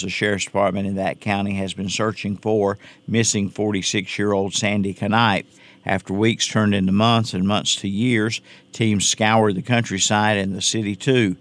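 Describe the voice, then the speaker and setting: A man's voice: on the low side, flat delivery, moderate pace, fairly bright tone. A man delivering scripted local news as a radio reporter.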